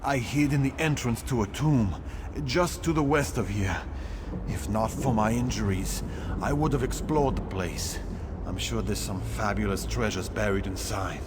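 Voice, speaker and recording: A man's voice: lowish voice, normal speed, noisy background, natural voice. An actor playing a wounded, treasure-eager soldier in a video game, telling his tale earnestly and plainly. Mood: weary, eager. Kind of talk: scripted video game dialogue.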